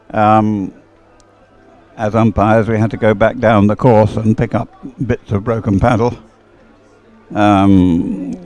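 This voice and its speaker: Older low-voiced male sports commentator, rambling. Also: darkish tone. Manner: hesitant, chatty, rambling. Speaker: sports commentator, former umpire. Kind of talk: live dragon boat race commentary with rambling asides.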